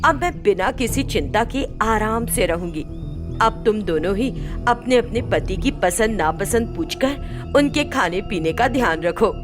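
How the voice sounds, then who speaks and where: A woman's voice: medium pitch, expressive, unhurried, over music, lightly acted. A woman voicing an older mother-in-law in a storybook tale, bossy and exasperated but placable. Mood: pleased, instructing. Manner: scolding, commanding homemaker. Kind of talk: acted dialogue in a Hindi moral story.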